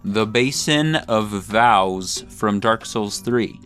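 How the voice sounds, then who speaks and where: A man's voice: on the low side, extremely slow, a bit bright. Man narrating comedic mock-grand commentary over music. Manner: mock-grandiose, tongue-in-cheek. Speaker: video essay host. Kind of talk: comedic scripted video commentary.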